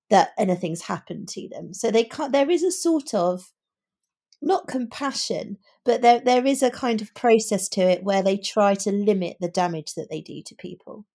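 Woman discussing books, with a creaky edge. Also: mid-range voice, normal speed, mellow. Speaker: podcast co-host. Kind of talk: conversational book discussion.

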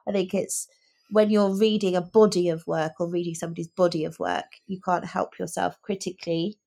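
A woman's voice: fairly low pitch, level tone, a little fast, vocal fry, warm tone. A woman talking casually about books as a podcast host, earnest and opinionated. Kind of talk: casual book discussion.

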